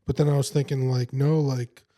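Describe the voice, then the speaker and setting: Man, mellow warm tone, podcast co-host, laid-back, lots of "like", wisecracks. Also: mid-range voice, little pitch movement, brisk. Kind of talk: casual comedy chat.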